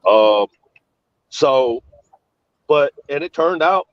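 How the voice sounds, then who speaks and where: A man with a slightly high voice hosts a laid-back chat, sounding stuffed up and sniffly. He rambles easily through long stories. The sound is dull and lo-fi, close and dry. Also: drawn-out words, no room sound. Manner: rambling, relaxed storytelling. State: congested and sniffly, recovering from illness. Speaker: host. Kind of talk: casual podcast chat.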